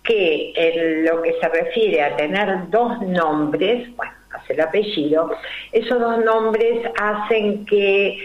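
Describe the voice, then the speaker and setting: An older woman with a Rioplatense Spanish accent, a numerology guest explaining her ideas slowly and deliberately, full of fillers and rhetorical questions. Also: mid-range voice. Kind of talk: explanatory interview talk. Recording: roomy, dull lo-fi recording.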